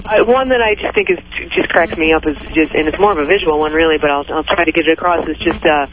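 A woman's voice: low voice, quick pace, lo-fi sound, a little hiss or hum. A woman with a Midwestern accent, a stand-up comedian chatting as a radio guest, laughing often and self-deprecating. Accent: Midwestern American. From Detroit, Michigan. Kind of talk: casual radio interview chat.

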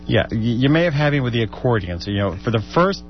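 A man's voice: mid-range voice, sing-song, quick pace, lo-fi sound, soft background music. A man co-hosting a radio show in casual comic banter, with a wry, teasing, wisecracking manner. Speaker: radio co-host.

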